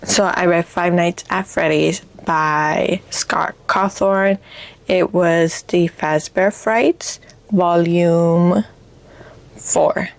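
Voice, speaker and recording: A woman speaks slowly in a somewhat low, raspy voice that sounds a bit stuffed up with allergies. She chats informally like a book vlogger, rambling and pausing as she finds her words. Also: fairly even intonation. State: congested from allergies. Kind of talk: casual book chat.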